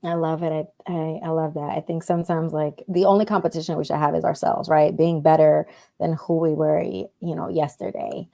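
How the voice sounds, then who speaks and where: A woman's voice: low-pitched, flat delivery, brisk, vocal fry, warm tone. A woman moderating a panel, enthusiastic and affirming as she hands questions to the speakers. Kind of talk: panel discussion.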